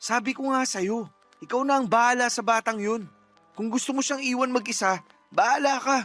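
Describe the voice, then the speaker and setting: A man's voice: very high-pitched, a little fast, fairly bright tone, soft background music. A man playing a callous, selfish partner in a radio drama, speaking in a cold, blunt, dismissive manner. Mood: scornful, irritated. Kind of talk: Tagalog radio drama acting.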